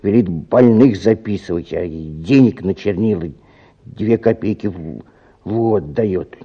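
An actor playing an irritable, officious clinic clerk in a radio drama, grumbling and snapping orders in a husky voice. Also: male voice, deep voice, measured pace, highs cut off, heavily acted. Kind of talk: Russian radio drama acting.

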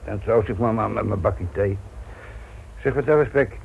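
A man's voice: on the low side, moderate pace, vocal fry, a little hiss or hum. An actor playing a wry, teasing middle-aged police inspector in a Dutch radio play, nonchalant and colloquial in his talk. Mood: probing, wry. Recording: muffled phone-like old radio recording.

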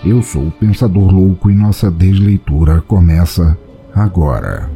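A man's voice: low-pitched, lively intonation, measured pace, warm tone, soft background music. A Brazilian man hosting and narrating a podcast from a script, with a wry, theatrical manner.

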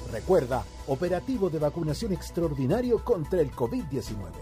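A man's voice: on the high side, sing-song, fairly quick. A man reading a public service announcement. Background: music bed.

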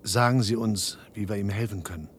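An actor playing a troubled grown son in a radio play, earnest and imploring. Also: male voice, a little fast, close to an everyday voice. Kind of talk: German radio play acting. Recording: rumbly, noisy archive radio recording.

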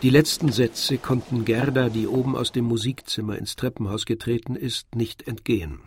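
A man's voice: medium pitch, steady tone, moderate pace. A man reading scripted literary narration as the narrator of a radio drama. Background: violin and other music.

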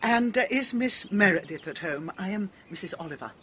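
A woman's voice: mid-range voice, expressive, brisk, heavy background noise, lightly acted. An actress playing a forthright, purposeful English lady, direct and polite, in a radio drama. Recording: outdoor scene with birdsong. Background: birdsong.

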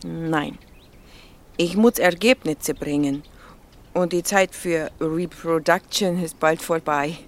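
A woman's voice: deep voice, slight background noise. An actress playing a playful, flirtatious Slovak woman biologist who teases and halts while searching for words. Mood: earnest. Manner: teasing, halting word searches.

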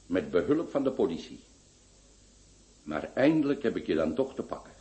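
A man's voice: deep voice, big pitch swings, moderate pace, warm tone. A grandiloquent, eccentric doctor for a radio play. His voice leaps wildly up and down as he lectures and scolds. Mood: lecturing. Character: pompous eccentric male doctor, theatrical. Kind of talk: radio drama acting.

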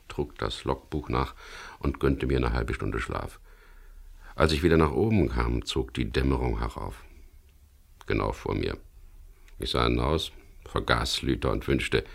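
An actor playing a dry, businesslike skipper in a radio crime drama, with a gravelly voice. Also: male voice, extremely low pitch, heavily acted. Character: wary, businesslike skipper of a chartered sailboat, also narrating. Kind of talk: 1970s German radio crime drama, narration and dialogue.

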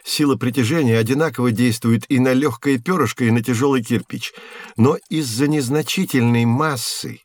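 An actor playing a patient, kindly physics professor who explains and gently corrects in a scripted educational audio drama. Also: male voice, mid-range voice, normal speed, dry recording, natural voice. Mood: patiently explaining.

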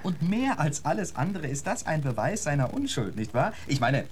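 Animated, affected genteel gentleman. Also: on the high side, normal speed, a little hiss or hum. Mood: polite, protesting. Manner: flowery, mannered, eager to persuade. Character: affected, genteel, theatrical male celebrity lecturer, protesting politely. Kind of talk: German radio drama acting.

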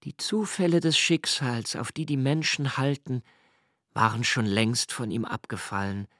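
Slow, brooding literary narrator. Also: male voice, mid-range voice, fairly bright tone, no echo, clean studio sound. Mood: intense, reflective. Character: brooding male narrator reciting a prodigal's homecoming, searching and intense. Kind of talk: literary radio drama monologue.

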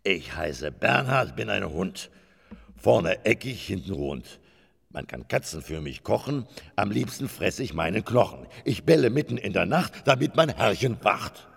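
An actor voicing a proud, yappy dog that recites singsong doggerel loudly in an absurdist radio drama. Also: male voice, lowish voice, big pitch swings, unhurried, gravelly, breathy edge, big-room sound, full character voice. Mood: boisterous. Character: boisterous rhyming dog named Bernhard, proud and yappy.

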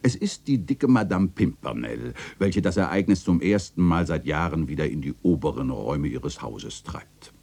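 Uncle narrator, dark deep voice, expressive range, observant, vivid storytelling, radio drama. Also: male voice, normal speed, hardly any pauses, husky, exaggerated voice. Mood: wry, measured. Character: observant, kindly male uncle narrating his memories. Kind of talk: literary narration in a radio play.

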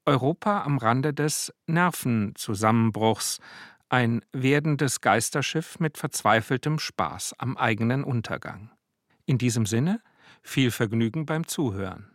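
A man's voice: medium pitch, lively intonation, a little slow, no echo, clean studio sound. A man speaking standard German, presenting a scripted introduction as a radio presenter.

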